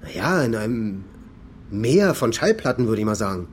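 An actor playing a blunt, flippant office colleague with a sarcastic, colloquial way of talking in a German radio crime drama. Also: male voice, fairly low pitch, big pitch swings, moderate pace, a little hiss or hum. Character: blunt, sarcastic male call centre colleague, a father.

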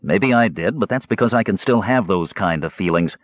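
A man's voice: fairly low pitch, animated, fairly quick, no room sound, dull audio, close to an everyday voice. A man voicing a big, blunt, protective warrior brother, plain and earnest, in a fantasy audiobook. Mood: defensive.

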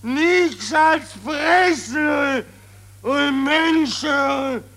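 A ranting Austrian army commander in 1940s radio acting. The voice is pitched extremely high, sharp and gravelly, shouting and close to sobbing with fury. The old recording suits the overblown, stammering delivery. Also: male voice, extremely slow, heavily acted. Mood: raging, despairing. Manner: shouting, stammering, breaking into sobs.

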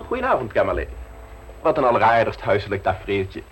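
A man's voice: lowish voice, wildly swooping pitch, quick pace, over music, rough recording. An actor playing a witty, self-assured young male secretary in a radio play, talking in a breezy, teasing way. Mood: cocky.

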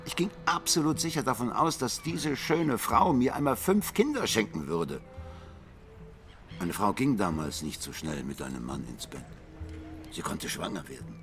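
A man's voice: fairly low pitch, lively intonation, normal speed. A man playing a blind music critic in a radio drama, self-mocking, theatrical and brooding in his confessional talk. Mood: wistful, obsessive. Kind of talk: radio drama monologue and dialogue.

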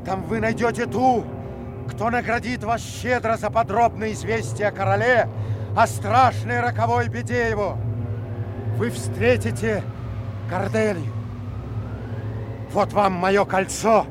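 A man's voice: sits high, fairly even intonation, a little slow, pauses often, music in the background. An actor playing an earnest, loyal nobleman in a theatrical audio drama, speaking urgently with formal stage diction. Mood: confiding, earnest.

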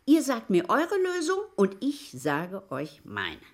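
Radio play role: a pert, nosy serving woman, breathy-husky, with hugely swooping intonation and unhurried delivery. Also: mid-range voice, huge pitch swings, heavily acted. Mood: pert, insistent. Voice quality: husky, often hushed and whispery. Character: curious, superstitious, pert serving woman in old Italy. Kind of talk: German radio drama acting.

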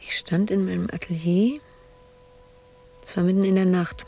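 Tormented sculptress in an asylum, radio play: dark, creaky voice, intimate, breathy, haunted monologue. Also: female voice, lowish voice, fairly even intonation, moderate pace. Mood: hushed, haunted. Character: anguished woman sculptor confined in an asylum, haunted, brooding.

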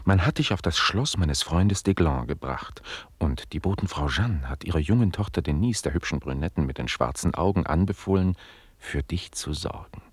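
A man playing a lordly, inquisitive master in a radio play, imperious and teasing, with a husky voice. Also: low voice, animated, moderate pace, no echo. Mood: prying, teasing. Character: lordly, inquisitive master scolding his servant.

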